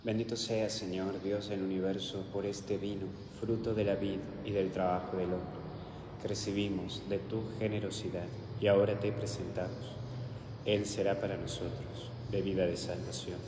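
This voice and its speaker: Man, Argentine accent, priest, very low voice, solemn measured prayer, long hall echo. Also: level tone. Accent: Argentine Spanish. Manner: solemn liturgical recitation, slow and measured in prayers. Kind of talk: homily and celebrating Mass. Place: small chapel with altar, indoors. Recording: roomy hall echo with background hiss.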